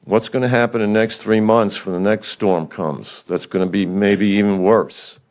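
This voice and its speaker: A man's voice: on the low side, fairly even intonation, normal speed, darkish tone. An older man giving public comment at a county meeting, blunt and frustrated, firing rhetorical questions.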